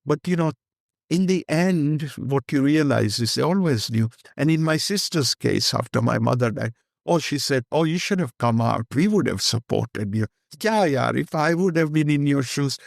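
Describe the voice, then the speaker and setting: A man's voice: a little high, animated, moderate pace, creaky, dry recording, clean studio sound. An older man with an Indian accent, a guest speaking candidly and rambling in an interview, slipping Hindi phrases into his English. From Kenya.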